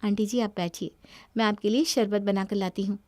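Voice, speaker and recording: A woman voicing a gentle, devoted young daughter-in-law with self-respect in a cartoon family story. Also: medium pitch, steady tone, brisk, no room sound, studio quality, lightly acted. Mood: sweet, respectful. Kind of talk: Hindi cartoon moral-story voice acting.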